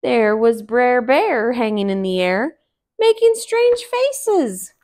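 Woman, thirties, children's read-aloud narration, unhurried, highish animated voice. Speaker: storybook reader. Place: small living room, indoors on a couch.

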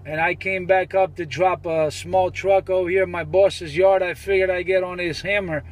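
A high-voiced man talks on CB radio. He is boastful and comes back to the same catchphrases again and again. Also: fairly even intonation, normal speed. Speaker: CB radio operator. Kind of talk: CB radio banter.

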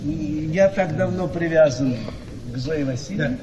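An actor playing a vain, gullible gentleman in a radio comedy, speaking with pompous, earnest self-importance. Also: fairly high pitch, unhurried, close to an everyday voice. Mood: self-important. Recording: noisy reverberant hall, distant from mic.

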